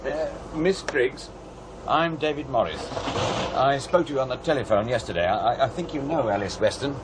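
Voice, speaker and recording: An actor playing a polite radio journalist with a halting, tentative manner in an audio drama. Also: male voice, mid-range voice, background noise, close to an everyday voice.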